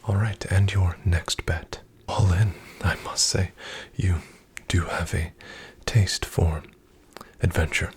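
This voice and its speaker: A man in a soft-spoken, whispered ASMR roleplay as a casino dealer, speaking in a polite, formal, deferential way. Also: deep voice, fairly even intonation, slow, husky.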